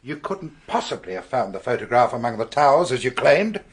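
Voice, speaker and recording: A dogged British police investigator in a radio drama. His mid-pitched voice is husky and animated, with formal, precise phrasing that builds an accusation step by step. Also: fairly quick. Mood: accusing.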